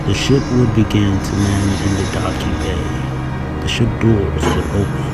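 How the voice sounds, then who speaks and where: A man reads a scripted story as narrator. His voice is fairly low and his delivery slow, with music playing very loudly behind him. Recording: very loud music bed over narration.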